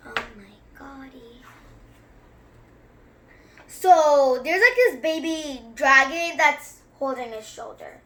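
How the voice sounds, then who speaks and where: A young-sounding story reader who reads a picture book aloud and chats through it with expressive, wandering asides. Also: high-pitched, takes it slowly, long pauses. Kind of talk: children's story time read-aloud with excited running commentary.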